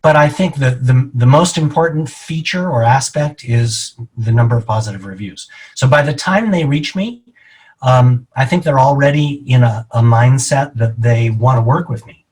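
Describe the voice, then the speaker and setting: A middle-aged man being interviewed as a real estate agent, explaining his answers in a measured, deliberate way. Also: mid-range voice, a little mellow, husky, low-quality recording.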